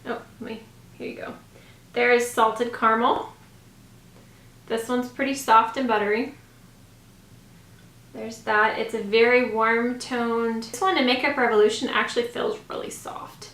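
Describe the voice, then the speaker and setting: A woman's voice: on the high side, fairly even intonation, a little slow, long pauses, fairly bright tone. A woman in her thirties chatting casually to camera as a beauty vlogger, informal and gushing, with a slightly scratchy voice. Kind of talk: makeup review, casual chat to camera. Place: small home room, indoors. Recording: roomy echo.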